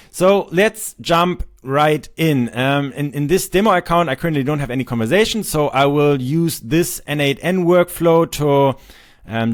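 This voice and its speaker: A man in his thirties with a German accent, a native German speaker, casually walking through a demo of software he built, with frequent ums and restarts. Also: on the high side. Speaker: software developer demoing his tool. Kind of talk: screen-recorded software tutorial.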